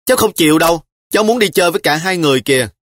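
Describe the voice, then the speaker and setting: A man's voice: a little high, expressive, very fast, fairly bright tone, no room sound, studio quality, pitched above the performer's own voice. A man voicing a stubborn, sulky little girl of about ten who pleads and insists in an audiobook. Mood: insistent. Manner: pleading, insistent child's whine.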